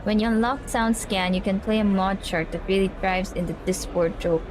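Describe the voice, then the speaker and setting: A woman from the Philippines, slightly raspy, chatty and giggly as she reads a visual novel aloud on stream. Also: mid-range voice, moderate pace, background noise. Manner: chatty, giggly, reacting to the game. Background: game music.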